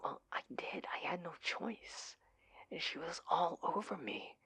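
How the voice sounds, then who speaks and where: A man playing an anxious, adorkable husband in an intimate audio roleplay, speaking in a breathy, pleading manner. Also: lowish voice, moderate pace, a little mellow. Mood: panicked whispering. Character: adorkable, anxious, oblivious husband, affectionate and flustered.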